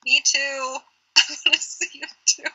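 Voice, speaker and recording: A woman's voice: high-pitched, level tone, unhurried, pushed and bright, low-quality recording. A young woman co-hosting a casual livestream, chatty and giggly. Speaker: livestream co-host.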